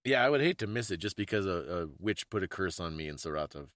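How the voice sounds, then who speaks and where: A man's voice: low voice, lively intonation, fast talker, fairly bright tone, no echo, clean studio sound. A man co-hosting a podcast, talking casually about history in a bookish, explanatory way. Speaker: podcast co-host. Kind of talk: casual conversational history discussion.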